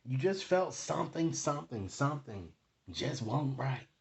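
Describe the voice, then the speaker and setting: A man hosting an improvised tarot reading, speaking to viewers in halting phrases and often checking in with short tag questions. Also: medium pitch, sing-song, vocal fry. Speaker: tarot card reader, online host.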